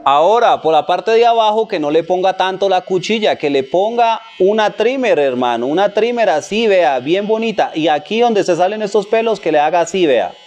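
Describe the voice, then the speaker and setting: A man's voice: high-pitched, expressive, normal speed, hardly any pauses, darkish tone, faint music behind. A man with a Colombian accent giving a casual barbering tutorial, colloquial and repetitive, addressing the viewer like a buddy. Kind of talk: casual hands-on tutorial narration.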